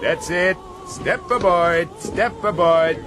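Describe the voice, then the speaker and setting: Domineering, cunning sea captain. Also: male voice, high-pitched, slow, over music. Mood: falsely genial. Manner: commanding, curt, slyly genial. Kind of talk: radio drama acting. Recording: noisy outdoor ship ambience.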